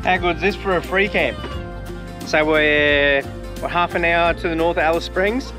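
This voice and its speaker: A man's voice: a little high, moderate pace, music in the background. A man with an Australian accent, casually narrating a road-trip travel vlog outdoors in a laid-back, rambling way. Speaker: travel vlogger. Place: outdoors by a highway and camp.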